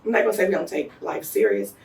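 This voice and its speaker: An American woman with a low voice tells stories in a relaxed interview. She talks fast, full of slang and laughter. The mic sits some way from her. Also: vocal fry, recorded from a distance, slight background noise. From United States. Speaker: interview guest. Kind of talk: casual conversational interview.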